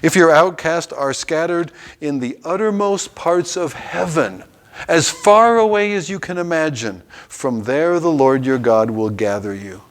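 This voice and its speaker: A man teaching a Bible class, reading scripture passages aloud and stopping to explain them in an everyday way. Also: medium pitch.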